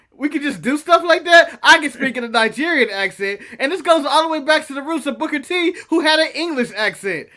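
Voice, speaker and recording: A man's voice: extremely high pitch, pushed and bright. A man co-hosting a casual wrestling review podcast, joking and laughing often. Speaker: podcast co-host.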